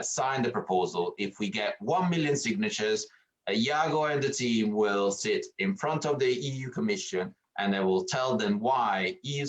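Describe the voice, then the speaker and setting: A man's voice: medium pitch, poor audio. A man hosting an online panel, thanking guests and introducing speakers with many hesitations. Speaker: panel host.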